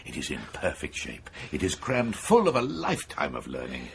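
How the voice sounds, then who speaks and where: An actor playing an eager, persuasive neurosurgeon with a macabre obsession in a radio horror drama, speaking insistently and clinically with a British accent. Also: male voice, extremely low pitch, wildly swooping pitch, moderate pace, creaky, a bit bright, exaggerated voice. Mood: brisk, coaxing. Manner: insistent, enthusiastic, coolly clinical lecturing. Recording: faint background noise.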